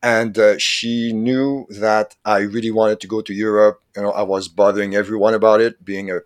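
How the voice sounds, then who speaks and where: Low-voiced man, halting speech. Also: flat delivery, no room sound. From Quebec, Canada. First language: French. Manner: halting, searches for words, chuckles often. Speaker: podcast guest. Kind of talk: casual interview chat.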